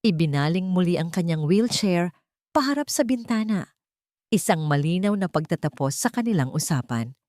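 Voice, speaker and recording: A woman's voice: lowish voice, expressive, normal speed, a little mellow, dry recording. A woman storyteller narrates a dramatic love story. The recording is close and dry, with no room sound. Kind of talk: scripted dramatic romance narration.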